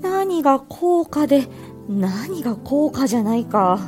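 Mystery audio drama part: actress as serious woman, slightly high voice, clear music underneath. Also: big pitch swings, a little slow. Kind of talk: scripted audio drama acting. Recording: loud music bed under voice.

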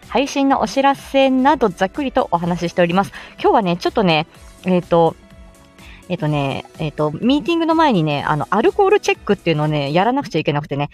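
A woman's voice: fairly high pitch, darkish tone. A woman chatting casually as a live-stream host, greeting listeners from inside a car.

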